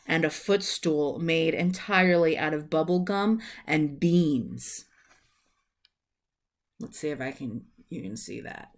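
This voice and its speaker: Female storyteller, thirties, lowish voice, very unhurried children's read-aloud, recorded at home on a webcam. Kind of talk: reading a children's book aloud. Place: small room at home, indoors.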